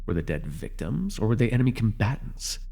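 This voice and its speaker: A man's voice: lowish voice, very animated, vocal fry, dark tone, heavily acted. A man playing a bitter, commanding telepathic villain who was once a hero, speaking with sardonic deliberation in an ASMR superhero roleplay. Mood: wry, bitter. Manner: sardonic, deliberate, addressing a captive.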